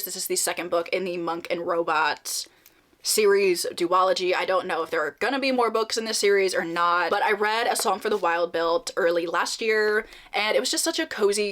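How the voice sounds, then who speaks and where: A woman's voice: mid-range voice, fairly bright tone. Chatty young woman with a slight cold. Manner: chatty and upbeat, laughing often. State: slight cold, a bit nasal. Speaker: reading vlogger. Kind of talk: casual vlog chat.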